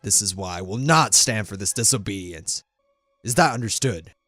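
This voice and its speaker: A stern king and father played by a man, very low in voice and firmly authoritative. His speech is formal and dignified, recorded dry over faint music in a fantasy audio drama. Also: lively intonation, normal speed, no room sound. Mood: stern, commanding. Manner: firm, quiet, formal, authoritative.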